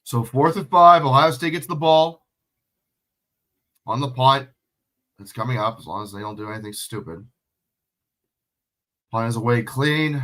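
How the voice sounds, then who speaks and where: A man's voice: medium pitch, a bit bright. A sick, sniffly man doing casual solo play-by-play of a football game, swearing and chatting with viewers. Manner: swearing, chatty, answering chat questions. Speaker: livestream play-by-play commentator.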